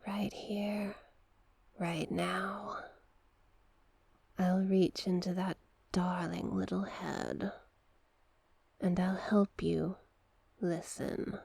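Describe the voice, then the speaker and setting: A woman voicing a seductive, manipulative sea witch in an ASMR roleplay, coaxing hypnotically in a breathy, husky voice that often drops to a whisper. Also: lowish voice, little pitch movement, a little mellow, no echo, exaggerated voice. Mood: hypnotic, coaxing. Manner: slow, hypnotic, coaxing repetition.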